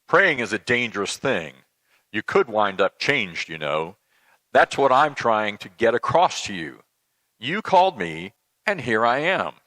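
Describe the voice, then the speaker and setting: Man as a patient, teasing deity: conversational, admonishing, lively intonation, dry close sound, church sketch. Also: fairly low pitch, dry recording, heavily acted. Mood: patient, urging. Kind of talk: dramatic reading of a comic church sketch.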